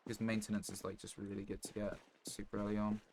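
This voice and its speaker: Low-voiced man streaming a game. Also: completely flat delivery, fast. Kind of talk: casual live gameplay commentary. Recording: clear background noise, clicks.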